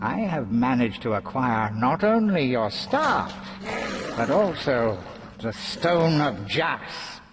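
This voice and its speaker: An actor playing a gleeful, mocking trickster villain in a video game, taunting with drawn-out theatrical sarcasm. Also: male voice, mid-range voice, sing-song, noisy background. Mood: smug.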